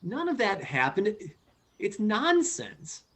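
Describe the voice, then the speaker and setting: A man playing an earnest, anxious but insistent son in a radio play, with a husky, hesitant delivery. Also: sits high, huge pitch swings, takes it slowly, fairly bright tone, full character voice. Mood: hurt, insistent. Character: earnest, anxious, insistent child of a dead father.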